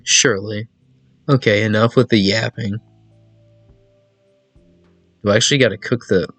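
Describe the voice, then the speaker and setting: A man's voice: on the low side, no echo. A man giving casual let's play commentary, reacting aloud to a visual novel, repeating himself and tossing in teasing asides. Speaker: gaming video host. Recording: music behind a dry voice. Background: game music.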